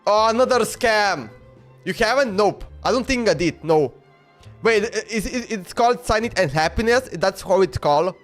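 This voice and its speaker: A man live-streaming a video game in a high-pitched voice. He comments in an informal, blunt way, as if chatting with viewers. Faint game music plays behind him. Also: animated, normal speed. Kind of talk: casual live reaction commentary.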